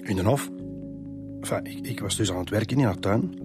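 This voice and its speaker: A man's voice: fairly low pitch, fairly quick, lightly acted. A man with a Flemish accent playing a defensive divorced man in his fifties in a radio crime drama, hesitant and self-justifying. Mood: explaining, defensive. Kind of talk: naturalistic radio drama dialogue. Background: music.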